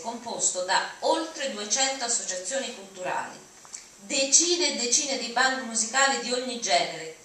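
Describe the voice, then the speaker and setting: Middle-aged female dramatic reader, bright projected tone, heavy room echo. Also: mid-range voice. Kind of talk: dramatic reading of essays aloud. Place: auditorium at a lectern. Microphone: lectern mic, recorded from audience. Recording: echoey hall from far off, faint noise.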